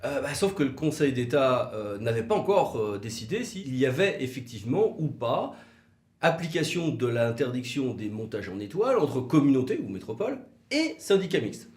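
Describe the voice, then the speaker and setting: A man's voice: mid-range voice, sing-song, darkish tone. Echoey male legal explainer, conversational. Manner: conversational, hesitant pauses, rhetorical questions. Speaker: legal commentator for a law firm, co-presenter. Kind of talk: explanatory legal video, semi-scripted two-hander. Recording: roomy, echoing sound.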